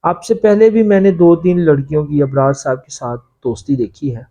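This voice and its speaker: A man's voice: a little high, fairly even intonation, moderate pace, warm tone, close to an everyday voice. A man voicing a long-serving factory worker in a story, an earnest, secretive informant who warns deferentially. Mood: grave confiding. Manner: confiding, cautioning, deferential.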